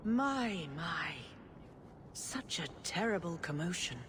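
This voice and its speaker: An aged, serene religious matriarch from a fantasy game. She talks very slowly, with genteel condescension and complete composure. Noise is clearly present behind her voice. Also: fairly low pitch, expressive. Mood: mildly reproving. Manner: measured, gently patronizing, genteel. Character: serene, condescending elderly female religious leader. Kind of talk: dramatic fantasy video game acting.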